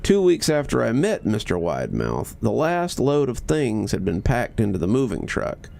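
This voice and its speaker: A man's voice: medium pitch, wildly swooping pitch, normal speed, vocal fry, a little mellow. A man narrating a horror story in a dramatic, scripted storytelling style.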